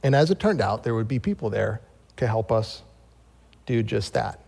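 A man's voice: on the low side, lively intonation, moderate pace, creaky, darkish tone. An American man preaching as a pastor, moving between scripture reading and personal storytelling. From United States. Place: large reverberant room, speaking to a congregation. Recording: long hall reverb.

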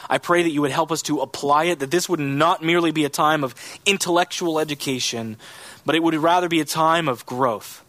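Bright-voiced pastor preaching a sermon. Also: male voice, a little high, no echo. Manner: earnest, measured preaching with emphatic rises. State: has a cough. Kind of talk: sermon with scripture reading and prayer.

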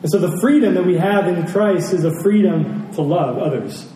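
A man's voice: sits high, normal speed, mellow. An American man giving a conversational sermon as a pastor, speaking reflectively and repeating phrases for emphasis. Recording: roomy, distant mic, faint noise.

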